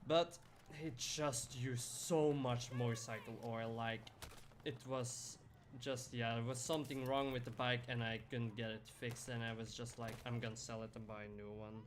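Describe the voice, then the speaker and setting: A man's voice: mid-range voice, flat delivery, normal speed, slight background noise. A young man chatting casually on a live stream while gaming, rambling through stories and answering chat by name.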